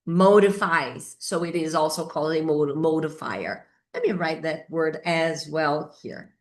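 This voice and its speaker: Slow-paced Brazilian woman teaching English. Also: fairly low pitch, a bit bright. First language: Portuguese. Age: middle-aged. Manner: halting, repeats key words, thinks aloud. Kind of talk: informal whiteboard grammar lesson.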